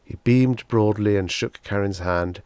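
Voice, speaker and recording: A man's voice: fairly low pitch, measured pace, a little mellow, smooth, no room sound. A man narrating a short story as a scripted audiobook reading.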